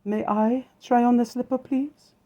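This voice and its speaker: Storybook heroine, played by a man: high-pitched young woman, sweet, wistful, timid and pleading. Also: steady tone, a little slow, warm tone, lo-fi sound. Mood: polite, pleading. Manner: hesitant, pleading. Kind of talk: children's storybook read-aloud.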